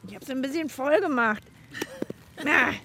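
Woman co-host for kids' radio, high bright voice, very lively, faint background hiss. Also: unhurried. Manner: cheerful and chatty, thinks aloud. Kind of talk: lively hands-on radio chat.

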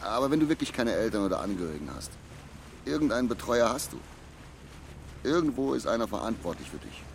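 An actor playing a middle-aged barge skipper with a shady past, gruff but kindly, speaking haltingly and colloquially in a radio drama. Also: male voice, medium pitch, big pitch swings, a little hiss or hum. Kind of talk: German audio drama acting, storytelling.

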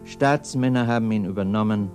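A man's voice: lowish voice, fairly quick, over music. A man giving a scripted recitation of literary poetry. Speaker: reader of poems.

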